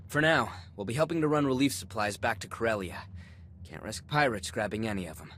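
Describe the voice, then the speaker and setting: Eager, admiring young comrade. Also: male voice, lowish voice, lively intonation, fairly quick, fairly bright tone, slight background noise. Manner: earnest, informal. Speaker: video game character. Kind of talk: scripted cutscene acting.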